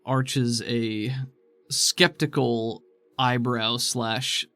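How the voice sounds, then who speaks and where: A man's voice: mid-range voice, steady tone, very slow, fairly bright tone. A man working as game master, narrating an improvised tabletop game in a descriptive, conversational way.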